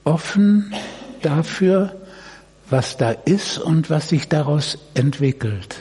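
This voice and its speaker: Slow man guiding meditation in echoey hall. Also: a little high, lively intonation. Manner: gentle instruction in short phrases with long pauses. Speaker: meditation guide. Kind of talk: guided body-awareness meditation. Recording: echoey hall, distant mic, noisy.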